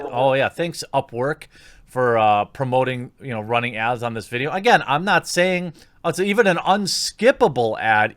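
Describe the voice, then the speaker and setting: A man's voice: mid-range voice, a bit bright, dry recording. A man giving casual, opinionated online commentary in a critical, sarcastic manner, recorded on a close mic. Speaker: YouTube commentator.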